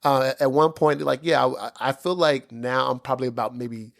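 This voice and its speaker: A man's voice: mid-range voice, steady tone, normal speed, gravelly. A man hosting a podcast in casual chat, rambling with many "you know"s and "like"s and laughing often. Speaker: podcast host.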